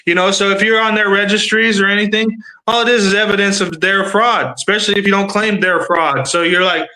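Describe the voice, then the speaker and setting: Thirties guy hosting a stream, loose and sweary, kinda high bright voice. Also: steady tone, a little fast, low-quality recording. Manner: rambling, swears freely, restarts often. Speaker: livestream panel host. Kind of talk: casual profane chat.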